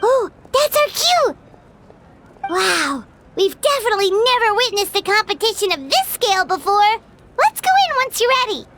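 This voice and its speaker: Small bubbly fairy mascot, anime game: very shrill, squeaky, highly animated, heavy background noise. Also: female voice, normal speed. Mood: excited, bubbly. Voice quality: squeaky, childlike. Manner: speaks of self in third person. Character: tiny excitable childlike floating fairy companion, female. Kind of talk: English anime game dub acting. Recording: loud ambient noise behind voice.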